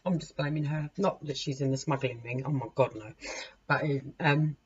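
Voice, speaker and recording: A woman in her forties with a hoarse, worn voice reads tarot cards for her viewers. She talks in a chatty, self-mocking way, circling back and restarting her sentences. The recording sounds dull and lo-fi, with a faint hiss behind her. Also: deep voice, normal speed. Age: 40s. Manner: chatty, laughs at herself, repeats and restarts. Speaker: tarot reader. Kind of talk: casual tarot reading to camera.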